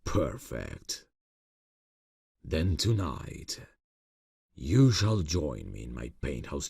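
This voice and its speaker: A man playing an ancient, courtly vampire boss in an ASMR fantasy roleplay, seductive and quietly menacing, speaking formally and deliberately. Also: no echo, clean studio sound, exaggerated voice. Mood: seductive, commanding. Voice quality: very deep, gravelly, breathy. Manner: slow, formal, silky, deliberate. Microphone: close microphone, dry sound.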